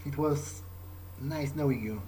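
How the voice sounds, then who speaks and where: A man improvising in character during a game playthrough, speaking in a halting way and repeating words. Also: mid-range voice, warm tone, poor audio. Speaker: gamer roleplaying his character. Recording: faint background noise.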